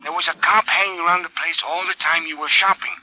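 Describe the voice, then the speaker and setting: An anxious garage accomplice in a vintage 1940s radio drama. His voice is high and very piercing as he presses his warning urgently. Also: fairly even intonation, fast, bright, forceful tone, dry recording, low-quality recording. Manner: urgent, insistent. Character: anxious, insistent crooked garage man phoning in.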